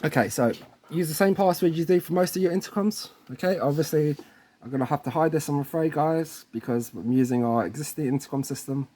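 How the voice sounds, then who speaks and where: A man's voice: a little high, a little fast, mellow. A man presenting a casual hands-on tech tutorial in an informal way, often checking in with the viewer. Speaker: presenter of installation tutorial videos.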